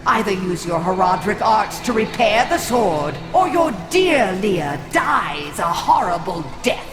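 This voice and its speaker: A woman's voice: mid-range voice, big pitch swings, a little slow. A sneering villainous witch. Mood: threatening. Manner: sneering, commanding, shouting threats. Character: cruel scheming witch, servant of a demon. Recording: echoey with loud game music.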